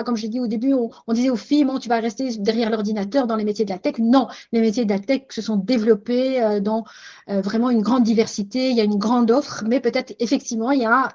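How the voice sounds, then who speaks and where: A woman's voice: a little high, darkish tone, lo-fi sound. An older woman hosting a webinar, recapping and reframing her guest's points in a thoughtful way over a video call. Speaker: webinar host. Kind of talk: webinar discussion, summarizing.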